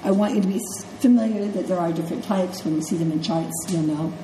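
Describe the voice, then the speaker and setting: A middle-aged woman with a stuffed-up, congested voice lectures nursing students in a relaxed, conversational way, often asking "okay?" and "right?" as she goes. Her voice sits fairly low and mellow. The recording is echoey, with a faint hum of room noise behind her. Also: quick pace. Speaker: nursing instructor. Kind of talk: classroom lecture. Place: classroom. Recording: echoey classroom with faint ambience.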